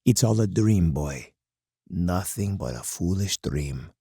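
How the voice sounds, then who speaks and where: An actor playing a hard, commanding older colonel in a strategy game, husky and gravelly, with stern, deliberate oratory. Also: male voice, deep voice, expressive, mellow, no room sound, studio quality, heavily acted. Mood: scornful, stern. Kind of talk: dramatic scripted game character monologue.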